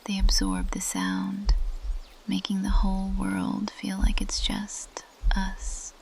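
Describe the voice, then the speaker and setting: Slow whispering plant monster girl, acted by a woman. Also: mid-range voice, very animated, full character voice. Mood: soft, tender. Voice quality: breathy whisper. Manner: slow, lingering, possessive. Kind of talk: intimate ASMR roleplay.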